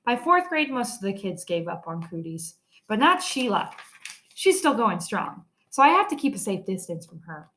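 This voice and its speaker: A woman's voice: medium pitch, sing-song, normal speed, fairly bright tone, a little hiss or hum. A woman teacher reading a children's story aloud expressively, stressing key words.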